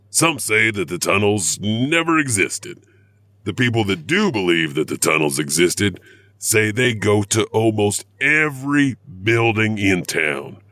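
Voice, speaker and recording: Deep, bright-voiced actor as a storytelling janitor. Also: male voice, no room sound, full character voice. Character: janitor storyteller of eerie local legends, grumbling. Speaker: narrator of a horror anthology. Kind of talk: scripted spooky story narration.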